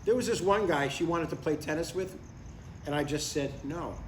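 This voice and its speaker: A man's voice: on the high side, moderate pace, close to an everyday voice. An older man playing a blunt, devout father in a live comedy table read, matter-of-fact and mildly exasperated. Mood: fatherly, frank. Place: live reading in a room. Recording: roomy, distant live recording with faint noise.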